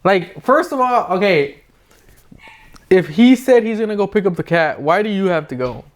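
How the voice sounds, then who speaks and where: Man, podcast host, slightly high pitch, clean smooth voice, informal slang, argumentative banter. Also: lively intonation, moderate pace. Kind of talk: casual advice podcast banter.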